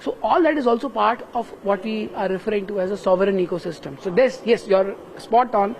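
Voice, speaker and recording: A man's voice: high-pitched, brisk, vocal fry, a little hiss or hum, low-quality recording. A man with an Indian English accent, a professor explaining his work in an interview in an earnest, step-by-step way. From Kerala, India. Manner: earnest, explains step by step with frequent "right?" tags. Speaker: professor interviewed at a tech summit. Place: busy summit venue.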